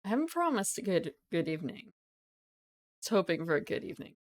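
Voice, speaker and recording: A woman streamer chatting casually with her viewers, rambling, giggling and correcting herself, her voice still croaky from just waking up. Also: on the low side, very animated, fairly quick. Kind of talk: casual live-stream chat.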